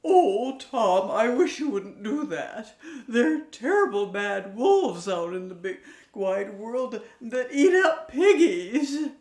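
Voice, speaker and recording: A woman's voice: fairly high pitch, very animated, slow, a little mellow, reverberant, voice pushed up. Woman doing a quavering old mama pig. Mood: worried. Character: fretful old mother sow, quavering and tearful.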